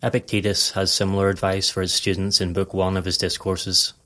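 A man with a low-pitched voice narrates a guided meditation. His delivery is scripted, calm and measured. He gives instructions in a composed, even-handed way.

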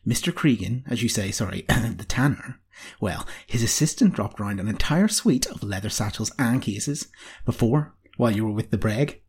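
A man voicing a cheeky, excitable nineteen-year-old female apprentice with a pert, eager, girlish manner. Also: lowish voice, normal speed, slightly rough, full character voice. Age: young adult.

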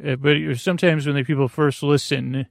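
A man with a creaky voice hosting a bedtime podcast, meandering hesitantly, mumbling and trailing off in a goofy way. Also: medium pitch, fairly even intonation, a little fast, no echo, clean studio sound. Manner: silly, goofy. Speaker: podcast host. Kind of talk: rambling bedtime podcast monologue.

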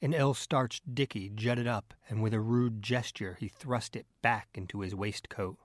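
A man's voice: fairly low pitch, fairly even intonation, unhurried, dry recording, studio quality. A man narrating a literary novel as an audiobook reader.

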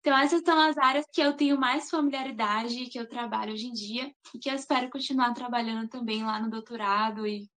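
A woman's voice: on the high side, fairly even intonation, quick pace, hardly any pauses, fairly bright tone. A young woman from Salvador, answering interview questions in a chatty way with frequent tag questions. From Salvador, Bahia, Brazil. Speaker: guest, master's student.

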